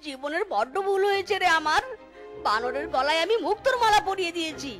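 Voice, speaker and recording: A woman's voice: very high-pitched, expressive, brisk, music in the background. A woman voicing an exasperated, henpecked fox husband with melodramatic, whining complaints in a cartoon. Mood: self-pitying. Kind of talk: Bengali cartoon dialogue.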